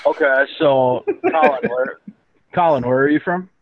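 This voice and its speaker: A man riffs with his friends on a livestream in a mid-pitched voice, cracking jokes and swearing freely. The sound is narrow and tinny, like a phone call. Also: normal speed, a crowd in the background. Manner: joking, profane banter. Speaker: livestream host. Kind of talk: casual group chat on a livestream.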